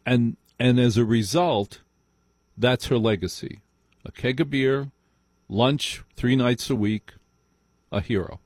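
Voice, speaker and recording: American radio host, close dry voice. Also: male voice, medium pitch, slow pace, long pauses, darkish tone, no echo. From New Jersey, United States. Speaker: radio call-in show host. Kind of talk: live talk radio conversation.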